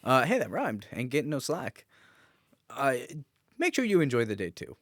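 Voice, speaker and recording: A man's voice: medium pitch, huge pitch swings, normal speed, fairly bright tone, no room sound, studio quality. A man co-hosting a podcast in casual talk, candid and teasing. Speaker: podcast co-host. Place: small office.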